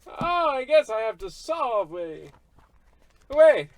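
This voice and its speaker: An actor playing a brash, illiterate tabloid newspaperman with excitable patter, in old radio comedy style. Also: very high-pitched, takes it slowly, exaggerated voice. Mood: childlike delight.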